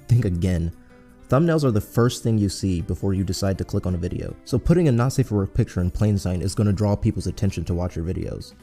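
Low, mellow man narrating a video essay. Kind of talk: scripted narration. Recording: background music under voice. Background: music.

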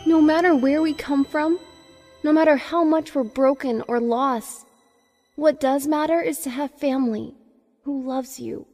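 A woman's voice: high voice, unhurried, darkish tone, dry recording, faint music behind. A young woman playing an emotional teenage magic princess in a cartoon comic dub. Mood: tender, grateful. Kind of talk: fan comic dub voice acting.

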